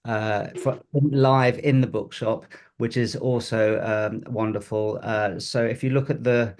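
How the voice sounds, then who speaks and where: A man's voice: mid-range voice, steady tone, a little slow, a little mellow. A man organizing a study group meeting, introducing the speaker from a bookshop room with frequent restarts.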